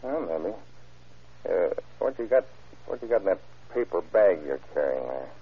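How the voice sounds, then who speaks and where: Hard-boiled, fearless male police officer, husky and very low, wisecracking, vintage radio crime drama. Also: very animated, normal speed, exaggerated voice. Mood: probing. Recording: tinny, muffled old radio recording.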